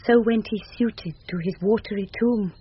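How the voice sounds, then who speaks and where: An earnest young woman in boy's disguise, in 1970s radio Shakespeare. She speaks measured verse in a breathy mid-pitched voice with a British accent, on a dull old recording. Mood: hushed wonder.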